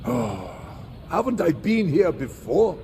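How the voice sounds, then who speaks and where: A man playing a wary traveller in a video game, with a creaky voice. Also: fairly high pitch, sing-song, slow, noisy background. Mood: wary, unsure. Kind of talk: video game cutscene acting.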